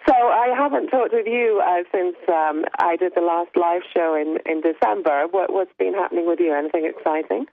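A woman hosting a radio talk show, chatting casually and laughing often, her voice still rough from laryngitis. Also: fairly low pitch, moderate pace, hardly any pauses, no echo. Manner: chatty, laughs often while telling stories. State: recovering from laryngitis. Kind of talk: casual on-air chat. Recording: tinny phone-line sound, dry.

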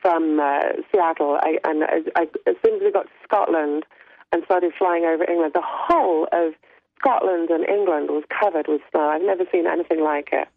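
A woman hosting a radio talk show, chatting casually and laughing often, her voice still rough from laryngitis. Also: low voice, moderate pace, no room sound. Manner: chatty, laughs often while telling stories. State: recovering from laryngitis. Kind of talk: casual on-air chat. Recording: tinny phone-line sound, dry.

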